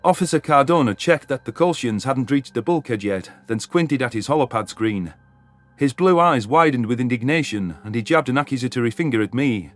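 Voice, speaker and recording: A man's voice: medium pitch, expressive, a little fast, soft background music. Man narrating a sci-fi story. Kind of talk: scripted first-person story narration.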